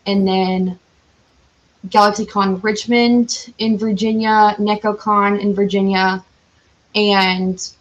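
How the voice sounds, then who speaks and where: A woman's voice: mid-range voice, dull audio. A woman chatting casually as a podcast guest, with an enthusiastic, giggly and informal way of talking. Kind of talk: casual interview chat.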